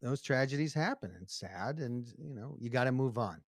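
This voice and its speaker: A man with a mid-pitched voice co-hosts a comedy podcast. He delivers his banter deadpan, as if completely earnest. The recording is very dry and close. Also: normal speed, dry recording.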